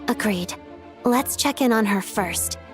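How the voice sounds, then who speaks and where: A woman's voice: mid-range voice, unhurried, natural voice. An actress playing a composed, practical woman in a video game, a trusting ally with a matter-of-fact manner. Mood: reflective, agreeable. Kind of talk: video game dialogue acting. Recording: studio voice with faint game music. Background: faint music.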